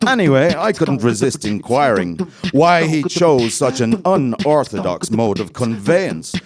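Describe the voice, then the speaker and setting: A man giving a dramatic poetry recital in a deliberate, wry and theatrical manner. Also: mid-range voice, sing-song, no echo. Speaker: poetry reader.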